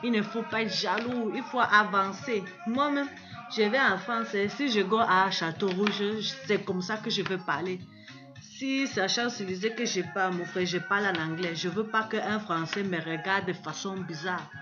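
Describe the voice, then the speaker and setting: A woman, a native Italian speaker, talks straight to her viewers in a playful, slangy way, dropping English words into her speech. The clip sounds dull and lo-fi, and clear music runs underneath her voice. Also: medium pitch, normal speed. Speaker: social media video maker. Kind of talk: playful selfie video monologue.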